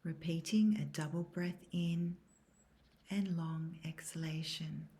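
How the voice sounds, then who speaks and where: Female meditation guide: hushed and breathy, dark soft tone, very slow, calm, measured delivery. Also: deep voice. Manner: calm, measured, soothing instruction. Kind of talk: guided yoga nidra meditation, scripted.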